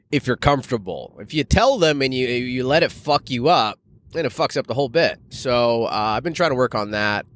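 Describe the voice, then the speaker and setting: A man's voice: mid-range voice, a bit bright, no room sound. A man hosting a solo podcast, rambling casually and loosely in informal, sweary language like an amateur comedian.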